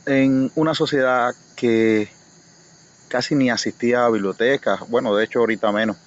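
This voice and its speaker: A man from Cuba with a Cuban accent, recalling his own life haltingly and reflectively as a guest in a casual conversation. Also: mid-range voice, steady tone. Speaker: guest reader. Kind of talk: personal recollection in casual conversation. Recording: dull lo-fi audio, faint noise, insects.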